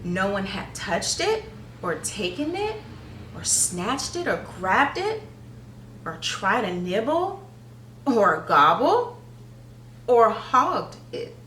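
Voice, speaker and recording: Animated, unhurried woman reading a children's story. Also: medium pitch. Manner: deliberate, dramatic phrasing. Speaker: read-aloud storyteller for young children. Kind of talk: expressive children's story narration with questions to listeners. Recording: echoey room, distant mic, faint noise.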